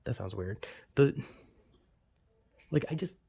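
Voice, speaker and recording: A man's voice: medium pitch, animated, slow, creaky, dark tone, husky. A young man vlogging in a rambling, self-deprecating way, full of "like" fillers, with a slight lisp. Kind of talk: casual rambling monologue.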